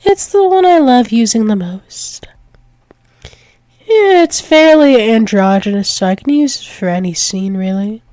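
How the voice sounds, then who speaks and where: Woman playing a slow, teasing, possessive demoness lover. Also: on the high side, expressive, warm tone, no echo. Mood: playful, coy. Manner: slow, teasing, breathy drops into whispers, pet names. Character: doting possessive dominant dragon-wolf demoness lover, teasing. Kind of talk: intimate ASMR roleplay.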